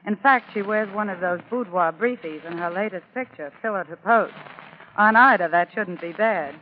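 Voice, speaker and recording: A man's voice: high voice, normal speed. A man giving scripted Hollywood gossip as a reporter in 1940s radio style. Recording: tinny, noisy 1940s radio.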